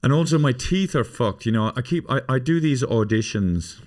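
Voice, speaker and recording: A man's voice: medium pitch, lively intonation, moderate pace, darkish tone. A man in his fifties hosting a podcast, rambling through profane, self-mocking casual chat. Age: 50s. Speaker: podcast host.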